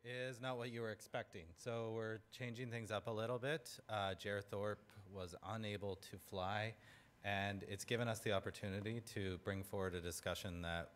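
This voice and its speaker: Conference host guy, really low voice, upbeat and casual, lots of uhs and ums, little bit of room hiss. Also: fairly bright tone. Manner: casual and upbeat, with frequent filler words. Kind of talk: event introduction.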